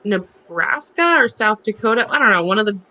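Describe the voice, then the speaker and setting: A woman's voice: medium pitch, lively intonation, a little fast, bright, forceful tone, muffled recording. A woman reading on a charity livestream, moving between dramatic book reading and casual asides in a wry, mocking manner.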